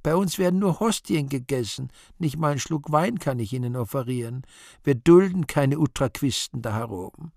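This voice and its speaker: A man's voice: medium pitch, expressive, normal speed, creaky, warm tone, no echo, clean studio sound. A man playing a regal, condescending and easily appalled Virgin Mary in a radio play, in a genteel, exclaiming manner. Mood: exasperated, condescending.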